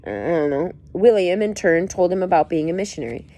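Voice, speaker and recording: Reader reading a book chapter aloud, lively up-and-down melody, playful asides. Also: female voice, on the low side, a little fast.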